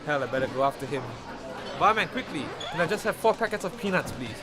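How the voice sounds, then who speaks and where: A man playing a brisk, matter-of-fact friend who knows of looming doom, in a radio play. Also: a little high, lively intonation, music in the background, a crowd in the background, close to an everyday voice. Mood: brisk, unconcerned. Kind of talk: radio drama acting.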